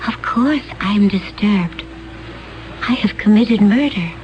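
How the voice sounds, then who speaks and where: An actress playing a calm, otherworldly alien woman in a 1970s radio drama. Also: medium pitch, slow, soft background music. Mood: serene. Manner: calm, gentle, detached. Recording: dull lo-fi radio broadcast.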